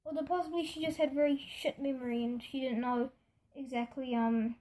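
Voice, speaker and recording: High-voiced young storyteller with rambling indignation. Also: steady tone, normal speed, dark tone. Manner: rambling, indignant, acts out other people's lines. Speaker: video storyteller. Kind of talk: casual storytime vlog. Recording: music behind the voice. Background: music.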